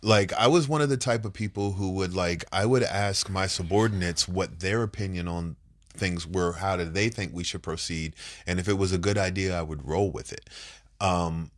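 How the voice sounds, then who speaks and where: A man's voice: low voice, normal speed. A man co-hosting a couples podcast, congested and nasal from a cold, talking in a blunt, emphatic, rambling way. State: congested, under the weather. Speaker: podcast co-host. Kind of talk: casual couples podcast chat.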